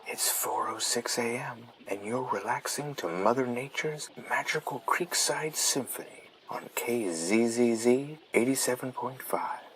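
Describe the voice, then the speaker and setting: A man playing a dreamy late-night radio host, speaking in a hushed, breathy, soothing style over a running stream. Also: medium pitch, measured pace, hardly any pauses, background noise, natural voice. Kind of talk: soft relaxation radio narration. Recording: nature ambience behind the voice.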